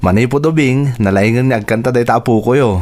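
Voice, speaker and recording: A man's voice: medium pitch, fast, a little mellow, close to an everyday voice. An actor playing a fond, proud, playful father and husband in an Ilocano radio drama. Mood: proud, teasing.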